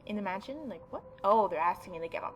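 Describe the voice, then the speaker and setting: A woman's voice: mid-range voice, animated, fast, rough recording. A young woman with a mid-range voice hosts a casual gaming playthrough. She moves between reading aloud and informal, offhand commentary, with faint music behind her. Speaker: gaming YouTuber.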